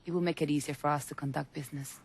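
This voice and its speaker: An actress playing a cool, businesslike underworld woman in a video game, measured and quietly firm. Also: deep voice, fairly even intonation. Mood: cool, guarded. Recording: faint background noise.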